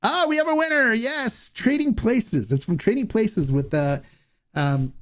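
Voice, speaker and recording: A man hosting a casual live Q&A, reading viewer comments aloud and answering in a rambling, halting way. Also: sits high. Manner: rambling, halting, many restarts and fillers. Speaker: host of a live Q&A stream.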